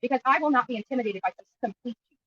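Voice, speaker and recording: A woman's voice: fairly high pitch, very fast, pushed and bright, husky, distant mic, dull audio. A woman livestreamer and activist giving an impromptu press interview, speaking emphatically and indignantly and repeating phrases for force. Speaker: YouTube livestreamer and missing-person activist being interviewed. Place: at a county jail, press interview.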